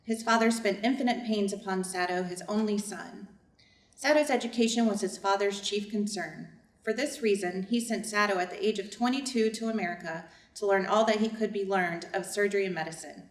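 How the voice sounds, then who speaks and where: A woman's voice: medium pitch, a little fast, slight background noise, natural voice. A woman reading the part of a devoted family servant who narrates a story in a scripted staged reading. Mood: earnest storytelling. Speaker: reader's theater narrator. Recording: echoey room.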